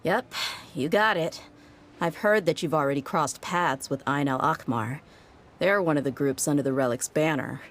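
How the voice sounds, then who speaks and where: A woman's voice: low-pitched, moderate pace, a little hiss or hum, natural voice. A woman playing a tough, easygoing and loyal female mercenary in a video game, with a casual, confident delivery. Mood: serious, explaining.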